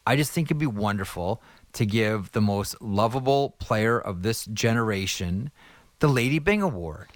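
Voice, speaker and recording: Man, nasal, lowish voice, playful podcast host, dry close sound. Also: lively intonation, normal speed, dry recording. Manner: playful, enthusiastic, riffing. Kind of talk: casual chat.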